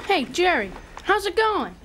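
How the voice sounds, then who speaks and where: A woman's voice: very high-pitched, big pitch swings, unhurried, background noise, exaggerated voice. A woman playing a smug young boy gamer in an audio drama, needling and mock-concerned. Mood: smug, taunting. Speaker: actor.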